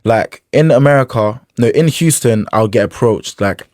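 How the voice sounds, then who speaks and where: A young man, telling stories in a boastful, lively, slangy way. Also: medium pitch, normal speed, no echo, studio quality. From London. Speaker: interviewee. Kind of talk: casual chat.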